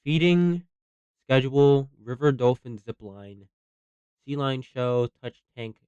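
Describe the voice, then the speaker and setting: A man giving casual, rambling commentary as a host, slangy, repeating himself and thinking out loud. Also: mid-range voice, expressive, takes it slowly, clean tone, no room sound, lo-fi sound. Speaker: theory video host reacting to horror series.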